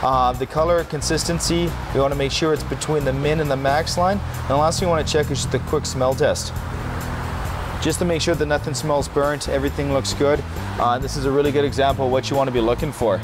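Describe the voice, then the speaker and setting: A man in his thirties, a tire shop technician, walking through car checks in a garage with quick, practical explanations and filler words. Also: medium pitch, steady tone, music in the background. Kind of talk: hands-on demonstration. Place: car service garage, indoors.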